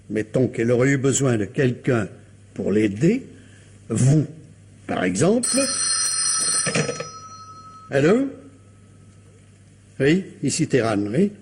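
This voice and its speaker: Husky, shrewd police commissioner, lively intonation. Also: male voice, medium pitch. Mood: insinuating, pressing, then brisk. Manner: probing, insinuating, courteously pressing. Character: shrewd, insistent male police commissioner interrogating a suspect. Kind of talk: French radio crime drama acting.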